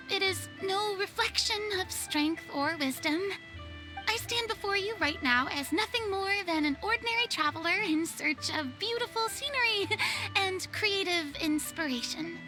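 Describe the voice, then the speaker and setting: A woman playing a refined, easily flustered young female celebrity in a video game, speaking formally and theatrically. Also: sits high. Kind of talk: English video game voice acting.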